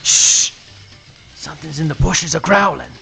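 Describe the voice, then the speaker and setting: Loud-mouth swamp gator sheriff, gravelly n high, folksy southern dialect, radio comedy. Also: male voice, measured pace, soft background music, heavily acted. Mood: blustery. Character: blustering, boastful adult male cartoon alligator playing sheriff. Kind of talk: live comic radio play reading.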